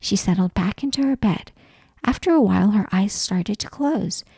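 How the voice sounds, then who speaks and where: A woman reading a children's story aloud as an expressive narrator. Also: mid-range voice, normal speed, vocal fry, husky, no room sound, studio quality.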